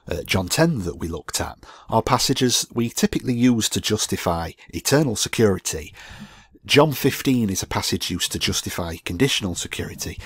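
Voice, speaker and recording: A man's voice: low-pitched, a bit bright, husky, no room sound. A man teaching a Bible study over slides, thinking aloud with many fillers and restarts, his voice groggy.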